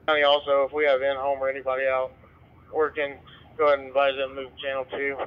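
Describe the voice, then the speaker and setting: Fast-talking man on a muffled scanner radio. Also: medium pitch, monotone, clear, resonant tone. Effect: two-way radio. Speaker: emergency responder in the field. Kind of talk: terse radio traffic.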